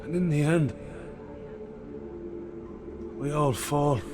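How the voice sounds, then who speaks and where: A man playing a solemn, devoted storyteller guide in a dark fantasy game, grave and portentous. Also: fairly high pitch, extremely slow. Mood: grave, fatalistic. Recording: loud music under the voice.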